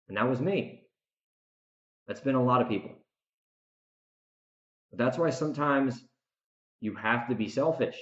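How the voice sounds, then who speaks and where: A man hosting a podcast as its author, giving an unscripted, opinionated monologue, emphatic, with repeated words and dramatic pauses. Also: medium pitch, reverberant, lo-fi sound.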